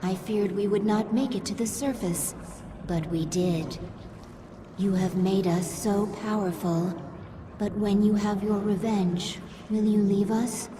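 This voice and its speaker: An actress playing a devoted, anxious alien hive servant in a video game, reverent and plaintive. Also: female voice, mid-range voice, fairly even intonation, a little slow, natural voice. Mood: anxious devotion. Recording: distant voice under loud noisy ambience.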